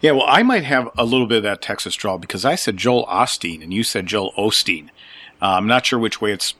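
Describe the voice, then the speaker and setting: Bright-voiced man from Wisconsin hosting. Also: lowish voice, lively intonation, fairly quick, no room sound. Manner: chatty, friendly questioning with small asides. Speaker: podcast host. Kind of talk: interview podcast.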